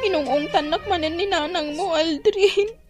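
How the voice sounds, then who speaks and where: A woman's voice: extremely high pitch, normal speed, over music. A woman playing a whiny, demanding young pregnant girlfriend in a radio drama, with an Ilocano Filipino accent. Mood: complaining.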